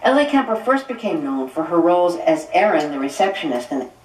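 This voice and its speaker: A woman with a low voice reads scripted narration on the radio. It comes from a distant speaker in an echoey room with faint noise. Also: big pitch swings, a little fast.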